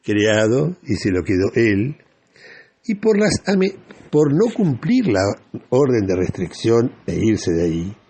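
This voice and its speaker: Low, husky Argentine man, slow-paced. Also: huge pitch swings, dark tone. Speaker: radio host. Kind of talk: opinionated radio news commentary.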